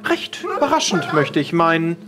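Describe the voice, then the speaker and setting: A man voicing a prim, discreet female housekeeper with a formal, measured, politely disapproving manner in a role-play audio drama. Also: fairly high pitch, big pitch swings. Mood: reserved, disapproving.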